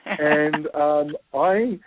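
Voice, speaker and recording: A man's voice: fairly high pitch, drawn-out words, a little hiss or hum. New Zealand man on a tinny line. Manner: thoughtful, many fillers. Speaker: guest. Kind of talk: explaining with a personal story.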